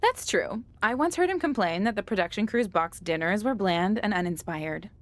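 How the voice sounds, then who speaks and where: A woman's voice: medium pitch, animated, normal speed, lightly acted. A woman playing a chatty, upbeat documentary interviewer in a video game, breezy and conversational. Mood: playful. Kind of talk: video game cutscene acting.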